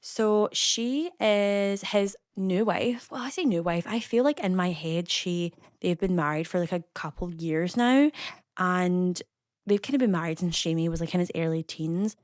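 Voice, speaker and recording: A woman's voice: lowish voice, dry recording. Chatty Scottish woman, dry close sound. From Scotland. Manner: chatty and rambling, many fillers and quick asides. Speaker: YouTuber narrating a game build. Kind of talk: casual chatty voice-over.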